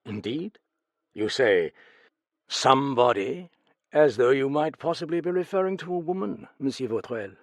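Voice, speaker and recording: A man doing a calm, probing investigator. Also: medium pitch, no echo, voice pushed up, natural voice. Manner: measured, questioning.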